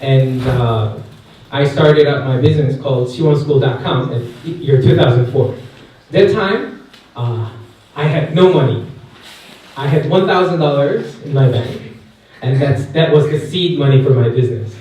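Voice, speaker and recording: A Korean-accented man in his thirties talks to a young crowd in a loose, chatty way, often pausing on fillers and putting questions to them. His voice booms around a very echoey hall, picked up from well back with a faint hiss underneath. His pitch sits in the middle range. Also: darkish tone. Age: 30s. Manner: chatty, asks the audience questions, many fillers. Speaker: guest speaker at a youth camp. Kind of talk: personal testimony talk. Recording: echoey hall, mic far off, faint noise.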